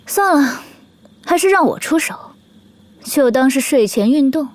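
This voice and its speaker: Animated series: an aloof young magic heir, disdainful, high-pitched with lively swings. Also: female voice, a little slow, dry recording. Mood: bored disdain. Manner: scornful, aloof.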